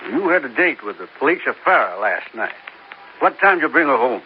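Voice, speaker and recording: An actor playing a steady, probing police lieutenant in a 1950s radio drama, measured and politely insistent. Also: male voice, medium pitch, close to an everyday voice. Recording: muffled old radio recording, faint noise.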